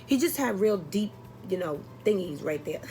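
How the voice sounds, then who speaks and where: A woman chatting casually as a vlogger, telling a personal story in an expressive, exasperated, sweary and comedic way. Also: mid-range voice, normal speed, noisy background.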